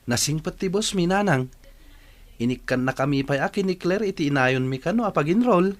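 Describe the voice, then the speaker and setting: An actor playing a respectful, hardworking young son in an Ilocano radio drama. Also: male voice, fairly high pitch, expressive, a little fast, lightly acted. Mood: earnest.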